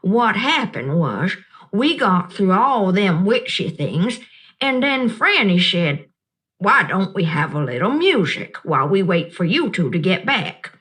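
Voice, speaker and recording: Warm old storyteller, unhurried drawl, storybook reading, voiced by a woman. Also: medium pitch, very animated, voice pushed down. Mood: folksy. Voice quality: slow, drawling, folksy. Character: old Southern woman, kindly, wise storyteller.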